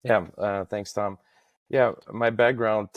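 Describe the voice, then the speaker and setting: A man in his thirties from Estonia, talking as a podcast guest in a halting way, with many fillers and restarts. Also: fairly low pitch, fairly even intonation, no room sound, muffled recording. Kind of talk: casual interview talk.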